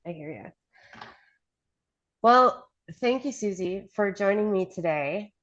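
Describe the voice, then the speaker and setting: A woman's voice: medium pitch, animated, slow, a bit bright, highs cut off. Upbeat woman hosting an interview. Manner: upbeat, enthusiastic. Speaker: interviewer, host.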